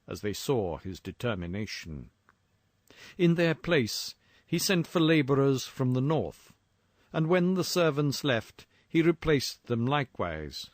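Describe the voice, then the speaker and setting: A man reading a first-person horror story in a measured, formal, dramatic storytelling manner. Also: mid-range voice, dry recording, clean studio sound.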